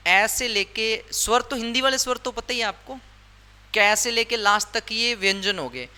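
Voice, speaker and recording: A man's voice: high-pitched, bright, forceful tone. A male English grammar teacher explaining a lesson step by step, repeating his points and often asking the class questions.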